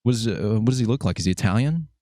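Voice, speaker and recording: A man's voice: fairly low pitch, animated, racing, mellow, no echo, clean studio sound. Chatty joking male podcast host. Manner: chatty, jokey, does playful voices. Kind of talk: casual interview chat.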